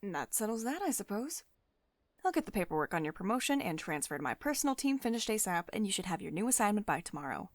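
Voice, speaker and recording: A woman's voice: mid-range voice, very animated, fast, no echo. A woman playing a commanding, protective tech CEO who is secretly devoted and tender, in an intimate ASMR roleplay monologue. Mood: pleased, gently bossy.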